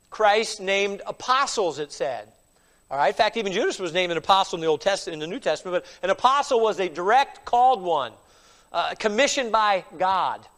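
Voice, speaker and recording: Middle-aged pastor, high voice, stuffy with a cold, emphatic sermon delivery. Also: male voice, a bit bright. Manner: emphatic preaching with frequent "all right?" asides.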